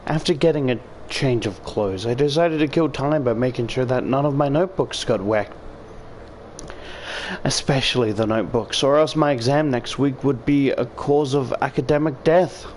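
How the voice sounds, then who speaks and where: A man's voice: medium pitch, moderate pace, a little mellow, a little hiss or hum. A man with an Australian accent doing a casual, sweary let's-play, reading visual novel text aloud and commenting as he goes. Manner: sweary, informal. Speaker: video game streamer. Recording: music playing behind the voice.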